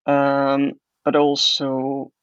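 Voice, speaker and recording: A man's voice: medium pitch, monotone, very slow, smooth, no echo. A young man with a Hungarian accent, telling his story thoughtfully as an interview guest and often restarting his sentences. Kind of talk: conversational interview answer. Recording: muffled dry audio.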